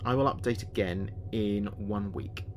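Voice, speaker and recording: A man playing an earnest spaceship communications officer, speaking his log in a measured, precise way for a sci-fi audio drama. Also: lowish voice, background noise, natural voice.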